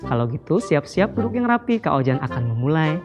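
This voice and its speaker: A woman telling a children's story in an animated, sing-song way, addressing the children directly. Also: deep voice, fairly quick, loud music behind the voice.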